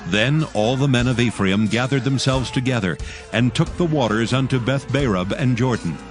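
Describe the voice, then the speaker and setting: A man's voice: medium pitch, normal speed. Male scripture narrator over prominent music.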